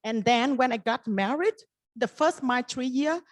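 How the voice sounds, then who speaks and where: A woman's voice: sits high, measured pace, dry recording. A woman from Vietnam with a Vietnamese accent, a native Vietnamese speaker, telling her personal story as a panelist. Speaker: investment firm partner on a panel.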